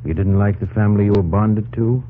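An actor playing a kindly, plainspoken frontier army scout in an old-time radio drama, folksy and reassuring. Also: male voice, lowish voice, fairly quick, slight background noise, lightly acted. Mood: earnest. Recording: muffled old radio recording, music behind.

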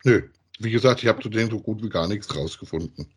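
A man's voice: fairly low pitch, quick pace, slightly rough. A man chats casually as a podcast co-host, in colloquial phrases with frequent little tags seeking agreement. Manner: colloquial, often tags sentences with "ja". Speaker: co-host of a podcast about radio plays. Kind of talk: casual conversational chat.

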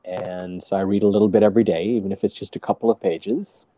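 A man telling stories as a guest, talking in a hesitant, halting way with many restarts, seated at home on a video call. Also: deep voice, fairly even intonation, no echo, highs cut off. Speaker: storyteller guest. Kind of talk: casual conversation.

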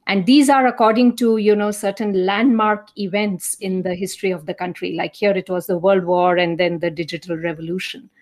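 A woman's voice: medium pitch, fairly even intonation, brisk, hardly any pauses, darkish tone. A woman in her fifties from India with an Indian accent, speaking thoughtfully as an academic guest in an interview. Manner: thoughtful, reflective storytelling. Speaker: guest, associate professor. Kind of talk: conversational interview.

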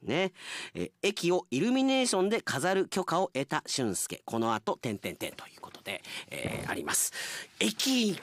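A man's voice: fairly high pitch, wildly swooping pitch, normal speed, a bit bright, dry recording. A man hosting a radio show, chatting casually with conversational asides as he reads out listener mail. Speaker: radio announcer and show host.

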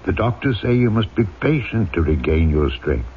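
A man's voice: fairly low pitch, big pitch swings, normal speed, slight background noise. A man playing a noble, resolute former convict turned mayor in an old-time radio drama, solemn and earnest as he tells and acts out his story. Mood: gentle, reassuring. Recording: tinny, narrow-band old radio recording with music.

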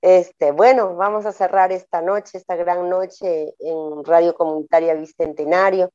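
A woman's voice: fairly low pitch, fairly even intonation, measured pace, dry recording. Woman hosting a radio show. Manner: warm ceremonial toasting, formal phrasing. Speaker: radio host. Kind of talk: radio interview host. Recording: dull lo-fi dry radio audio.

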